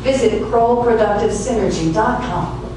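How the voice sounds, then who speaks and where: A woman's voice: medium pitch, moderate pace. A woman announcing scripted sponsor spots for radio theater. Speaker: advertisement announcer. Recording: echoey stage, distant mic, noisy.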